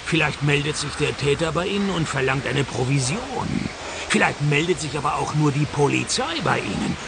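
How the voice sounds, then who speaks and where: A man playing a cynical, deadpan private detective and narrator in a radio play. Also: a little high, normal speed, vocal fry, heavy background noise. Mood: dry, sardonic. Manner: deadpan, sardonic.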